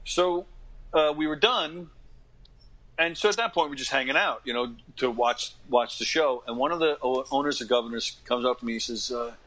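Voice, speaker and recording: A man of fifty with a bright, forceful voice at a middling pitch. He talks casually as an interview guest, like an animated storyteller used to performing. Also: normal speed, rough recording. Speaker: interview guest, actor and comedian. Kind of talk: casual interview anecdotes and advice.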